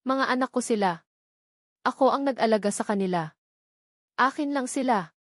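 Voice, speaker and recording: A woman's voice: fairly high pitch, moderate pace, no echo, studio quality, natural voice. A woman voicing a desperate, defiant young mother fiercely protecting her children in an audio drama.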